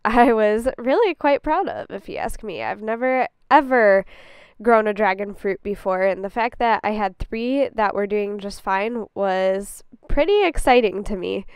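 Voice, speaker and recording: Young woman vlogger, upbeat chatty delivery, slightly stuffy, very smooth and clear, dry close sound. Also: medium pitch, dry recording. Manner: chatty and upbeat, laughs easily. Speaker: gardening vlogger. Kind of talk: casual vlog talk to camera. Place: garden. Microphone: camera on a tripod.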